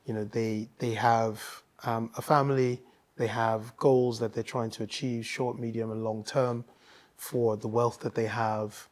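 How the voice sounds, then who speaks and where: A man's voice: mid-range voice, flat delivery, measured pace, a little mellow. Man from Nigeria, interview guest, mid-range voice, thoughtful, hesitant fillers. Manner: thoughtful, with many ums and restarts. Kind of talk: casual interview conversation.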